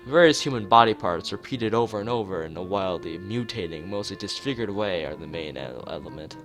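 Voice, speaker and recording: Low-voiced man reading a visual novel aloud. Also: animated, a little fast, fairly bright tone. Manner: stumbles over words and rereads them. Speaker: streamer. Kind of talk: live read-aloud of a visual novel with chat asides. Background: guitar music.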